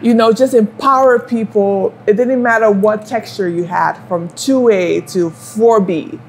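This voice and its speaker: A woman's voice: mid-range voice, a little slow, a little hiss or hum. Liberian-raised woman hairstylist chatting. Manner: warm storytelling with fillers and rhetorical "you know". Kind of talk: casual chat while styling hair.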